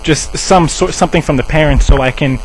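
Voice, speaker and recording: A man's voice: a little fast, faint music behind, lightly acted. A man playing an officious, defensive drug treatment center staffer in a rambling, insistent improvised prank phone call. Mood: matter-of-fact. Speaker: prank caller. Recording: noisy low-quality cell phone call, hum.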